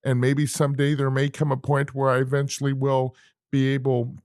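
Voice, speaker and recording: A man co-hosting a podcast in casual chat, earnest and halting, often repeating words and starting over. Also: mid-range voice, completely flat delivery, darkish tone, no room sound, studio quality. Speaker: podcast co-host.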